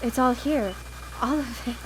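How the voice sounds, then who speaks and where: Woman acting high-voiced, desperate grieving widow. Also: a little slow, natural voice, rough recording. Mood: stunned, desperate. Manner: pleading, urgent. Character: grieving, obsessive widow desperate to reach her lost wife. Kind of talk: live radio drama acting. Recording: live broadcast with background noise.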